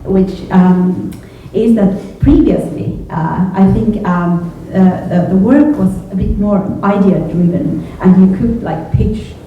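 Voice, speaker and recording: Middle-aged woman, Finnish accent, native Finnish speaker, hesitant panel answers; thin phone-like sound with faint noise. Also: mid-range voice. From Finland. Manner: hesitant, halting, often repeating words. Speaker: panelist, journalism educator. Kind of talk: panel discussion, thoughtful spontaneous answers. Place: echoey indoor event room with a panel. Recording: echoey room from far off, phone-like, faint noise.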